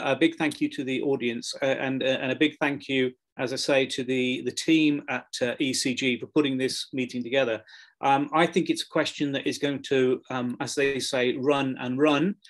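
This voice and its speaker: A man moderating an online panel discussion over a video call, chatty and relaxed, with many fillers. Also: a little high.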